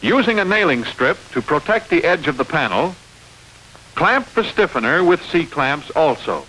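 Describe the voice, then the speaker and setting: Guy narrating an old training film, kinda high bright voice, step by step, bit of hiss. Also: sing-song, unhurried, rough recording. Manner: clear step-by-step instruction. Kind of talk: scripted instructional film narration.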